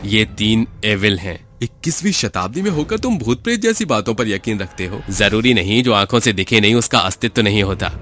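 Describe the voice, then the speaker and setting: A man's voice: on the low side, pushed and bright. A man playing an earnest, emphatic investigator in a horror story drama.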